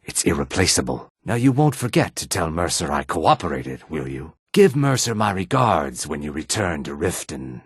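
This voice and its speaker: A very low, raspy lizard-man from a fantasy video game. He is a sly but courteous townsman who speaks in measured, formal phrases. The recording is close and dry. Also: very animated, no echo, heavily acted. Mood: smooth, businesslike. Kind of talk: scripted video game dialogue acting.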